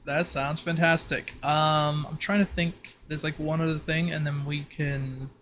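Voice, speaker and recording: A man acting as game master, improvising narration for a tabletop roleplay in a halting, think-aloud manner. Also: fairly high pitch, flat delivery, fairly bright tone, slight background noise.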